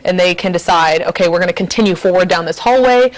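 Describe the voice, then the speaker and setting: A woman giving an informal explanation as an interviewee, with halting, hesitant phrasing. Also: on the low side, poor audio. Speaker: interviewee explaining a tabletop game.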